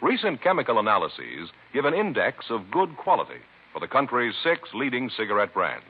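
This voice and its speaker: A man's voice: medium pitch, husky. An American man doing dramatic, scripted announcing for a 1950s radio program. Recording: narrow, muffled 1950s radio recording.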